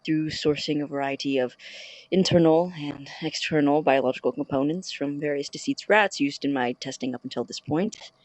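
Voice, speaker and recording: An actor playing a driven, self-important young scientist in an audio drama, recording a log in a grandiose, deliberate manner. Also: male voice, a little high, dry recording, natural voice, rough recording. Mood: solemn, proud.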